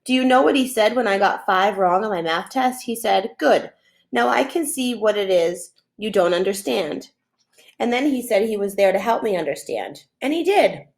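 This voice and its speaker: A woman's voice: mid-range voice, expressive, moderate pace, vocal fry. A woman voicing an earnest, admiring schoolgirl big sister who explains eagerly, in a storybook reading.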